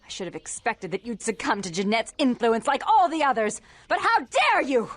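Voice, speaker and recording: A woman's voice: a little high, animated, moderate pace. A woman playing a stern, imperious vampire club owner in a video game, with formal, articulate speech. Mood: furious.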